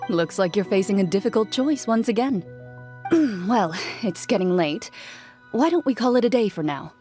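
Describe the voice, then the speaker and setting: Actress playing a composed young woman duelist, medium pitch, formal, unruffled, matter-of-fact, anime game with faint music. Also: lively intonation, normal speed, dark tone, lightly acted. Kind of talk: anime-style game voice acting.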